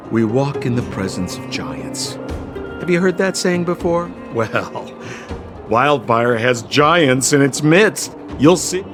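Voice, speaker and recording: An actor playing a slippery, fawning young male hustler in a video game, with theatrical salesman patter. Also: on the high side. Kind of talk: video game cutscene voice acting.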